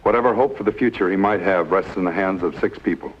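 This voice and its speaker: A man narrating a 1950s television medical drama in clipped, sober, deliberate phrases. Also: low-pitched, slight background noise, lightly acted. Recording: muffled vintage TV soundtrack with music.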